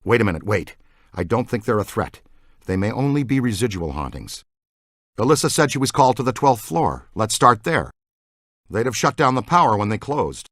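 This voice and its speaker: Video game ghost hunter: earnest and excitable, bright animated delivery, rattles off technical jargon. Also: male voice, lowish voice, normal speed, no room sound, studio quality, full character voice. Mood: concerned, focused. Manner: earnest, technical jargon, urgent call-outs. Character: eager, talkative ghost-hunting man, earnest and excitable. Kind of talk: video game character dialogue.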